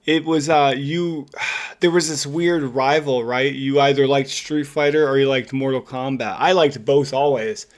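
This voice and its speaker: A man co-hosting a podcast, chatting casually in an excitable, slangy and emphatic way. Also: medium pitch, unhurried. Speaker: podcast co-host. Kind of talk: casual chat about video games and films.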